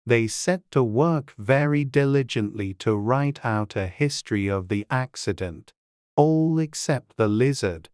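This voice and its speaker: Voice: medium pitch, a little mellow, clear, resonant tone, no room sound, clean studio sound. An audiobook narrator reading a classic tale in a dramatized storybook style.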